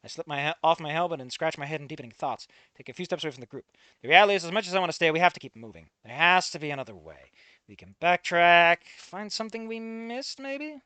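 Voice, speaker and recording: A man's voice: on the high side, fast, pushed and bright, clean tone, dry recording. A man reading fan fiction aloud, breaking off to swear and comment on it.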